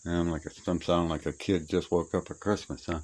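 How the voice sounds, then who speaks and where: A man with a deep, low voice talks in a plainspoken, folksy ramble, half to himself. He sounds like a hobbyist casually showing off old gear. The amateur recording is clearly noisy, with clicks and insect chirps behind him. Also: steady tone, fast, a little mellow. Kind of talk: casual rambling walk-through. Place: cluttered old room being cleared out.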